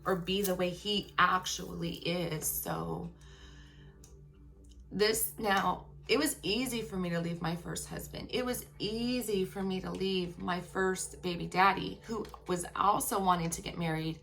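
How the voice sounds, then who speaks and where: A woman telling a personal story to her viewers. She speaks frankly and emphatically and keeps checking in with "okay?". Her voice is turning raspy after a long workday. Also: fairly low pitch, steady tone, a little slow, a bit bright.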